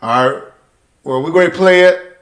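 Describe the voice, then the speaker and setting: An American man hosts a casual interview. His voice sits a little high, and he probes as he talks, setting up questions and pinning down details. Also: normal speed, a bit bright, soft background music. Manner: probing, clarifying. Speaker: podcast host.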